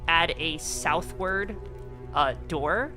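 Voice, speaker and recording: A game master narrating a tabletop roleplaying game online in a halting, explanatory way. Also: medium pitch. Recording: background music behind the voice.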